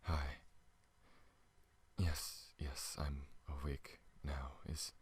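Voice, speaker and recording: A man playing a tall, wounded alien pilot in an ASMR audio drama, speaking haltingly and softly in a weakened, breathy voice that often drops to a whisper. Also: little pitch movement, slight background noise, heavily acted. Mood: groggy, gentle. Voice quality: deep, husky, breathy, often dropping to a whisper. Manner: halting, soft-spoken, hesitant. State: weak, recovering from injury.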